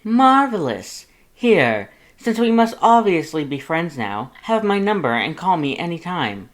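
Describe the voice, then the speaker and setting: A man's voice: fairly high pitch, big pitch swings, unhurried, voice pushed up. A man voicing a pompous, dramatic male skeleton with a grandiose, formal, over-enunciated way of talking. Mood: delighted.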